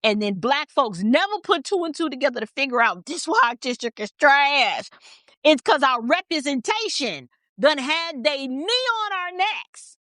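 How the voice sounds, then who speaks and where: High-pitched, blunt American woman commentator. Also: big pitch swings, moderate pace, sharp tone, no echo, studio quality. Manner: blunt, emphatic, repeating phrases for stress. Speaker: political commentator and host. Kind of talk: opinionated off-the-cuff commentary.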